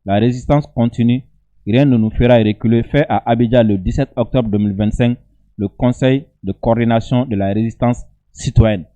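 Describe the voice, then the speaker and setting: A man's voice: medium pitch, fairly even intonation, moderate pace, warm tone, highs cut off. A man with a West African accent, a native Bambara speaker, reading statements aloud and commenting on them informally in an emphatic, repetitive way. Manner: emphatic, repeats phrases for emphasis. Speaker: online video commentator.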